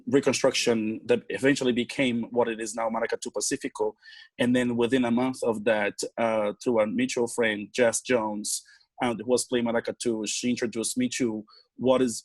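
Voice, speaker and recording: A man from Brazil with a Brazilian accent, a native Portuguese speaker, telling a personal story on a panel in a halting way with pauses and repeated words. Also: mid-range voice, no echo. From Salvador, Bahia, Brazil. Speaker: panel guest, drummer in community bands.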